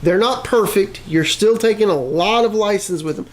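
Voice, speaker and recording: A man's voice: sits high, moderate pace, poor audio. A man co-hosting a casual talk show, giving his opinions conversationally. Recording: noticeable background noise.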